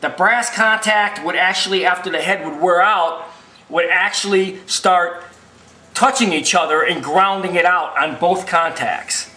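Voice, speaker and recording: High-pitched, bright-voiced man explaining repairs. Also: measured pace. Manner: folksy, rambling, repetitive, emphatic explainer. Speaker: video machine repair technician and presenter. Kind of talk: informal spoken repair tutorial. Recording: roomy, echoing sound.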